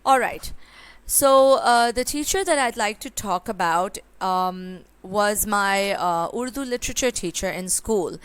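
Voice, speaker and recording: A woman's voice: medium pitch, unhurried, smooth, no room sound. A young woman, teaching speaking exam tips in a conversational way with many fillers. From Pakistan. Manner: conversational, with frequent fillers and rhetorical "right?". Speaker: IELTS speaking tutor. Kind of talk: exam tips lesson with sample answer.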